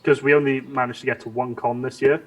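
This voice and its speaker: A young man chatting casually on a live stream, rambling along in a halting way with lots of restarts. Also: medium pitch, level tone, a bit bright, slightly rough.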